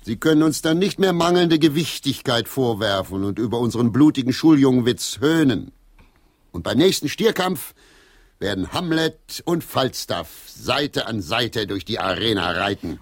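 An actor in a radio play as a gruff, weary and loyal member of a theatre troupe, blunt and deliberate. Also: male voice, mid-range voice, very animated. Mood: firm, persuading.

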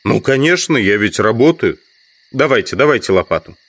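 A man's voice: mid-range voice, sing-song, moderate pace, a bit bright. An actor playing a proud, doubtful visiting man in an audio drama, questioning and slightly condescending. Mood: insistent.

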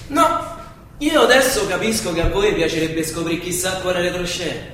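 An actor playing a bitter, defiant young revolutionary prisoner with a Roman accent, insolent and theatrical in a radio play. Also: male voice, high-pitched, animated, normal speed, pushed and bright. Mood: evasive, bitter. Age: young adult. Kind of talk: stage-style radio play acting. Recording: echoey far-off stage sound, clear hiss.

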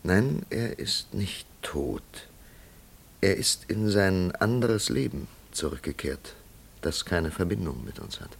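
An actor playing a thoughtful, probing investigator with a pondering, deliberate manner in a German radio play. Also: male voice, low voice, lively intonation, vocal fry, breathy edge, exaggerated voice. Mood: solemn, certain. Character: thoughtful, probing male investigator, quietly certain.

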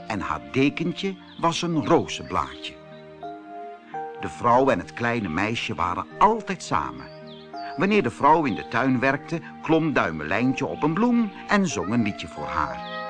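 Male storyteller, children's fairy tale, expressive, unhurried, highly varied pitch, faint music behind. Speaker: storyteller, audiobook reader.

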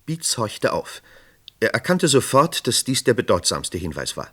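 A male narrator tells a crime story for a radio drama. His voice sits fairly low and moves expressively in pitch. The delivery is scripted, measured storytelling. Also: very animated, a bit bright, slightly rough.

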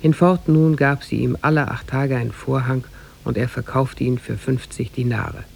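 A man narrating a scripted tale for 1950s German radio in a measured, formal storytelling manner, with a gravelly, husky voice. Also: mid-range voice, fairly even intonation, darkish tone, a little hiss or hum.

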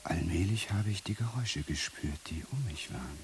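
Deep, hushed, dazed man. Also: expressive. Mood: hushed, entranced. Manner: halting, repeats prompts, often drops to a whisper. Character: dazed man slowly recalling his regained senses. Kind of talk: radio play acting, monologue. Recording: hissy early 1980s radio play recording.

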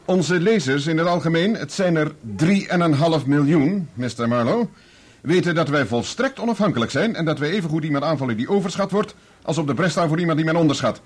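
A man's voice: a little high, animated, brisk, breathy edge. An actor playing a stiff, self-righteous male newspaper boss with a formal, pompous delivery in a Dutch radio play. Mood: indignant, lofty.

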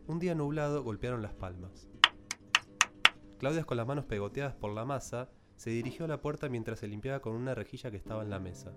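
Male storyteller over guitar music. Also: medium pitch. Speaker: radio drama narrator. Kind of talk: scripted literary narration. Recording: plucked guitar music behind the voice.

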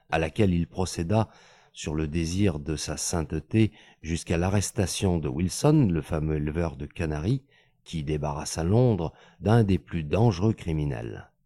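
Man narrating an audiobook, really deep voice, swoops around a lot, dry close sound. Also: animated, moderate pace, dark tone, dry recording. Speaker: audiobook reader. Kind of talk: scripted narration.

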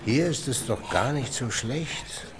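An actor playing a forty-year-old hobo prisoner, hardened and untroubled, drawling reassurances in a radio drama. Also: male voice, mid-range voice, expressive, takes it slowly, heavy background noise. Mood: stoic.

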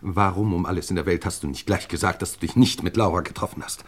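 An actor playing a dignified, easily indignant lord and uncle in a German crime radio play, speaking in a formal, emphatic way. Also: male voice, deep voice, fast. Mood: agitated reproach.

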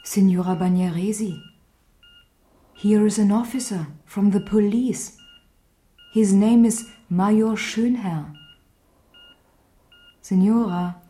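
An actress playing a sober, clinical hospital doctor in a radio drama, speaking in a quiet, factual way. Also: female voice, mid-range voice, steady tone, takes it slowly, pauses often, lightly acted. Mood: polite, formal. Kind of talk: 1980s German radio drama acting.

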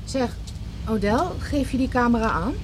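Actress as a high-voiced, coaxing female companion. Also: moderate pace, close to an everyday voice. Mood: questioning. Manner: coaxing, persistent. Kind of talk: radio drama dialogue. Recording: outdoor and car rumble behind.

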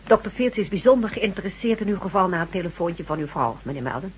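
An actress playing a polite, efficient nurse at a doctor's practice in a radio drama. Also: female voice, fairly low pitch, lively intonation, fast talker, close to an everyday voice. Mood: polite, businesslike. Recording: dull radio recording, faint noise.

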